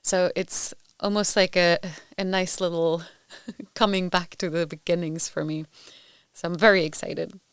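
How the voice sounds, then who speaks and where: A woman chats with a guest in a casual interview, sometimes wandering through her sentences. Her voice is lowish and smooth, recorded close and dry. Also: steady tone, a little slow, no echo. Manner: warm, chatty, a little rambling. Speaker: interviewer, former PhD student.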